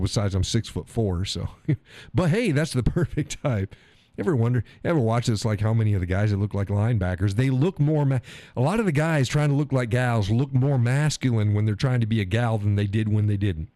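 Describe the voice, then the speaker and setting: A man's voice: mid-range voice, lively intonation, normal speed, warm tone, no echo. A man hosting a live stream show, talking bluntly and profanely. He hammers points by repeating phrases and reads out viewer chat comments.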